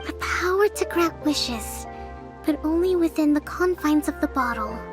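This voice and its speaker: A woman voicing a gentle, thoughtful young female general who reasons aloud in a measured, composed way for a video game. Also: sits high, lively intonation. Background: faint music.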